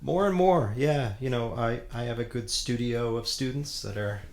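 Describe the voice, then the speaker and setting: A man in his forties, a steel pan player, chatting casually as an interview guest, relaxed and full of you-knows. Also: medium pitch, normal speed. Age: 40s.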